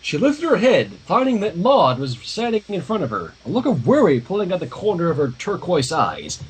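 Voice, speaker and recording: A man reading a story aloud on a livestream with expressive, dramatic narration and casual asides, in a husky voice. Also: high-pitched, normal speed. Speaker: fan fiction reader.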